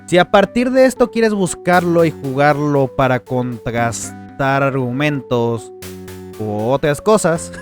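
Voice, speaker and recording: A man's voice: mid-range voice, lively intonation, takes it slowly, faint music behind. A man with a Latin American Spanish accent narrating a scripted video essay with casual asides.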